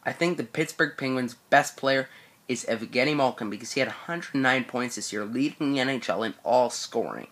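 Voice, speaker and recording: A teenage boy giving a steady, prepared breakdown of a hockey player's stats. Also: mid-range voice, brisk. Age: teens. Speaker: co-host.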